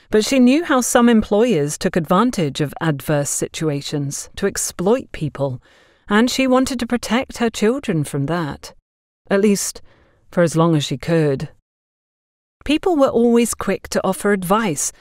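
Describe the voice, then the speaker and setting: A woman narrating a scripted story in an expressive way, as in an audiobook. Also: fairly low pitch, no room sound, clean studio sound. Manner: expressive, acts out dialogue.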